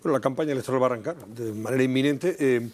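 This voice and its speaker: A male TV interviewer asks brisk, probing questions. He talks fast in a somewhat husky voice. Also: medium pitch, darkish tone.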